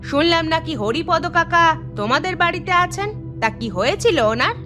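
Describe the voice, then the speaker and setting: A woman playing a gossipy, self-serving village neighbour in an animated moral story, with a pushy, theatrical, chatty manner. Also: very high voice, fairly quick, over music.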